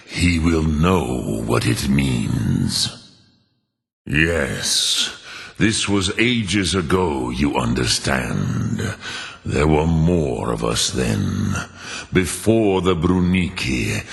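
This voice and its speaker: A man playing an ancient, wise dragon mentor in a fantasy video game, with a gravelly, rumbling voice and a ponderous, solemn delivery. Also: a bit bright, exaggerated voice. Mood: grave, urgent, then reminiscing, somber. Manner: ponderous, deliberate, solemn, words drawn out. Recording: long cavernous reverb.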